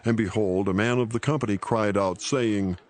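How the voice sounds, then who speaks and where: Low-voiced man narrating scripture. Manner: measured, formal reading.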